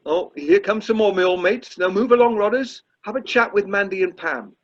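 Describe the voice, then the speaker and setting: Male actor as British society chairman: high-pitched, self-important, fussy and halting; amateur radio play, dull lo-fi call audio. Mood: bustling, welcoming. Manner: stammering, pompous, fussy. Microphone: video call. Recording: muffled lo-fi video call audio.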